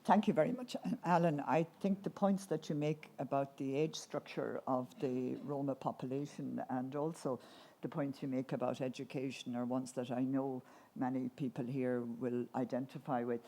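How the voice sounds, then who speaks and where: A woman's voice: very deep voice, steady tone, normal speed, warm tone, slight background noise. A woman chairing a conference panel, thanking speakers and handing over with fillers and restarts. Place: conference hall.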